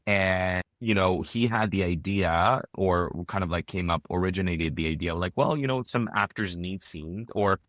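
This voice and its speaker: A deep-voiced man talks through his story in loose, rambling sentences full of "um" and "you know." The sound is close and dry, with no room around it. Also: moderate pace, hardly any pauses, a bit bright, dry recording. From Israel. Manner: rambling, many fillers and restarts. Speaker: podcast guest, filmmaker. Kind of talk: casual interview chat.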